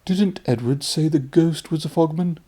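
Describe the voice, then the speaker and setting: A man's voice: on the high side, moderate pace, warm tone, voice pushed up, close to an everyday voice. A man voicing a proud, grumbling but nervous steam engine in a storybook, with a huffy, blustering manner.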